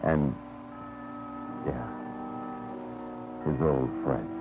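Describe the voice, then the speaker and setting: An actor playing a hard-boiled private detective, narrating in terse, clipped first person in a 1950s radio drama. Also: male voice, very deep voice, extremely slow, heavily acted. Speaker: narrator and lead. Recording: tinny, phone-like old radio recording with music.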